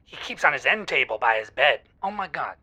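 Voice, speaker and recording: Fed up lovesick caller in audio drama, sweary n dramatic, sharp bright voice, very up and down. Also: medium pitch, very animated, fairly quick, breathy edge, exaggerated voice. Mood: disgusted. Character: exasperated, lovelorn adult caller, craving commitment. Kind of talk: semi-improvised audio drama, call-in show.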